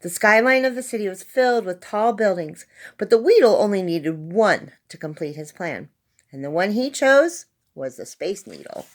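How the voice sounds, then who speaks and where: Female storybook reader, mid-pitched voice, expressive narration, dramatic emphasis. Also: moderate pace. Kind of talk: expressive read-aloud children's story narration.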